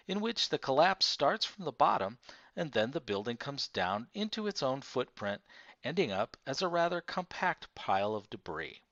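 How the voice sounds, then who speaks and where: A man's voice: medium pitch, vocal fry, a bit bright, no room sound, lo-fi sound. A man narrating nonfiction as an audiobook reader, reading from a prepared text.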